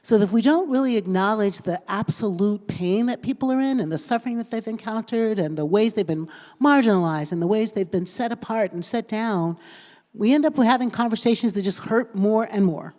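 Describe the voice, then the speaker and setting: A woman pastor on a conference panel, speaking earnestly and circling back over her phrases as she works out her point. Her voice sits at a middle pitch and carries the long reverb of a large lecture hall. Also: expressive, fairly quick, darkish tone. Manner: earnest, repeats phrases while thinking aloud. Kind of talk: panel discussion.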